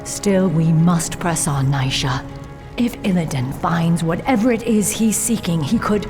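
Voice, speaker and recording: Low-voiced, stern elf commander. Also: female voice, expressive, unhurried, darkish tone. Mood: grave, wary. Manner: grave, formal, commanding. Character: stern, resolute female night elf warden commander. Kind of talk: fantasy video game voice acting. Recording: faint music under the voice.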